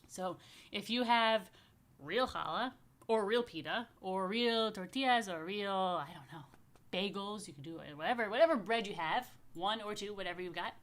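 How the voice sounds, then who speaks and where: A woman's voice: medium pitch, lively intonation, moderate pace. A woman rabbi hosting a family story time, chatting casually and playfully straight to young families, with informal asides and invitations to join in. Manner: addresses viewers directly with questions and invitations.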